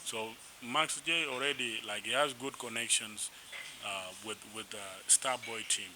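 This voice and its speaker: Animated South Sudanese man telling stories. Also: medium pitch, unhurried. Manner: animated, rambling, frequent restarts. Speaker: musician guest. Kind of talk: casual interview storytelling. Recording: noisy background.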